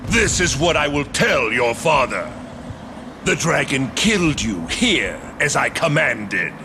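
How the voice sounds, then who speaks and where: An actor voicing a scheming, cruel general in a video game, with a smug, menacing and theatrical delivery. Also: male voice, mid-range voice, sing-song, slow, noisy background. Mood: coldly calculating. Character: scheming, cruel male general plotting against his king. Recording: music behind the voice.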